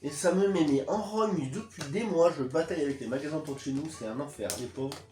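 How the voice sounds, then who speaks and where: A man's voice: fairly high pitch, a little fast, echoey room, far from the mic, music in the background. A man with a stuffy nose from a cold, co-hosting a casual food tasting chat, joking and grumbling. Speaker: co-host of a food tasting channel.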